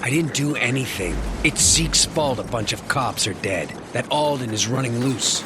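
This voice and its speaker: An actor playing a brooding young antihero in a game, gruff and terse, narrating in a hard-boiled, cynical way. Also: male voice, medium pitch, expressive, moderate pace, background noise, natural voice. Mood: defensive. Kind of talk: scripted game narration and dialogue. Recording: loud game music behind the voice.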